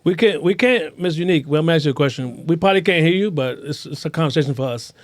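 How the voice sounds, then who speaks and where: Guy host w a highish voice, casual chat, swears a lot, slangy, dry audio. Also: animated, fast talker, dry recording. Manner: profane, slangy, affirming. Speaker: interview host.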